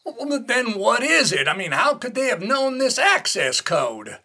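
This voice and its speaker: An actor playing a blustery, skeptical American colonel with a raspy voice in a Cold War radio play. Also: male voice, extremely high pitch, sing-song, measured pace, sharp tone, heavily acted. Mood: gruff, doubtful.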